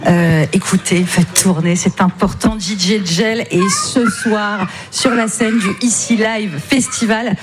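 A woman's voice: medium pitch, fairly even intonation, measured pace, few pauses, a crowd in the background. A woman presenting as a radio host, interviewing live outdoors at a festival.

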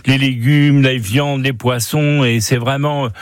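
A man who is a guest on a radio show, explaining recipes in a relaxed way with lots of hesitations and restarts. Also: medium pitch, measured pace, dry recording, studio quality. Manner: hesitant, many fillers and restarts, friendly explaining. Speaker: studio guest giving recipes. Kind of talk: casual radio chat.

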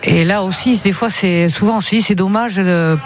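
A woman who is a native Basque speaker, explaining things haltingly as a guest in an informal radio discussion. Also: mid-range voice. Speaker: radio talk show guest.

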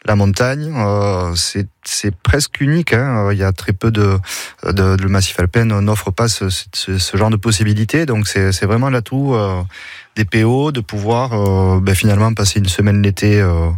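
A man's voice: fairly low pitch, moderate pace, no echo. A man speaking as a guest on a radio show, earnestly promoting the region with some hesitation. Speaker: tourism guest.

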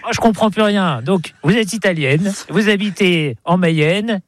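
A man's voice: high voice, a little slow, dry recording, studio quality. A man, a radio reporter, doing a street interview with a playful, teasing manner.

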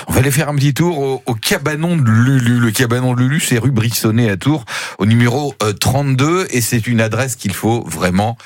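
A man's voice: medium pitch, brisk, dry recording, clean studio sound. A male radio host who talks in a joking, teasing way. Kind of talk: lively radio talk. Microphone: studio microphone.

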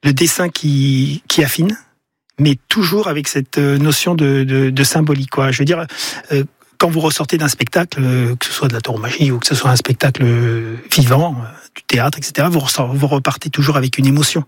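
Un homme d'âge mûr, originaire de Paris, invité d'un studio de radio, parle de son travail de peintre avec beaucoup d'hésitations. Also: male voice, mid-range voice, fairly quick, slightly rough, dry recording. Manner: hesitant, many fillers and restarts. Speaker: painter and guest. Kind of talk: radio interview answers. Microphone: studio microphone.

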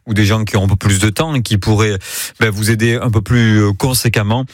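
A man's voice: fairly low pitch, fairly even intonation, brisk, dry recording. Chatty male radio co-host, dry studio sound. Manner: friendly, explains at length with fillers. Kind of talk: on-air show announcement and banter.

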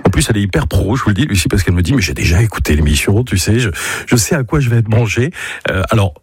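A man's voice: on the low side, expressive, quick pace, breathy edge, dry recording, clean studio sound. A man hosting a radio interview show, chatty and teasing with his guest. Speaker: radio host.

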